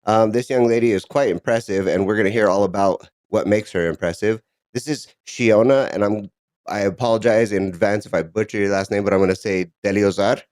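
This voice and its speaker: A man's voice: fairly low pitch, steady tone, a little fast, a little mellow, no echo. A man hosting a podcast, reading a scripted intro and then chatting in an upbeat, encouraging way. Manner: upbeat, encouraging, laughs often. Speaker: podcast host.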